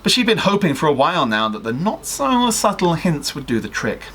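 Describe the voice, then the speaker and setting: Animated young man reading a story. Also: fairly high pitch, brisk, a little hiss or hum. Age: young adult. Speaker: guest reader on a livestream. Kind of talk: expressive fan fiction story reading with casual asides. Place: small home room with sloped ceiling.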